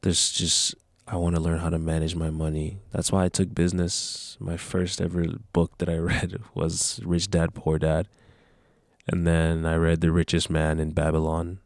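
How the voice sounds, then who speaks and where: A man's voice: sits low, level tone, moderate pace, no echo, studio quality. A nineteen-year-old man talking casually to camera, giving earnest, rambling motivational advice. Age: young adult. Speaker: vlogger.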